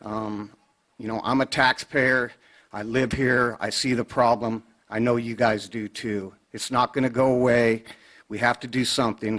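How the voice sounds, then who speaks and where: A man of mid pitch addresses a board from a podium microphone in a meeting chamber. He speaks plainly and urgently and leans on fillers as he goes. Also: a bit bright. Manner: plain and urging, with frequent fillers. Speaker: public commenter. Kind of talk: public comment at a county board meeting.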